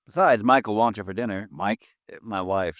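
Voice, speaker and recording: A man's voice: fairly low pitch, brisk, fairly bright tone, dry recording, natural voice. A breezy, easygoing male office manager who jokes his way through business talk, as an actor voices him. Dry, close studio sound from an old radio play. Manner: breezy and joking. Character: easygoing joking male branch insurance manager. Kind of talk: 1950s radio drama acting.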